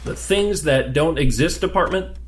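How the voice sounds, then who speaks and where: A man's voice: a little high, animated, normal speed, close to an everyday voice. A man playing a curious, talkative new government agent in an audio drama, conversational, questioning and easily flustered. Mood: curious, chatty.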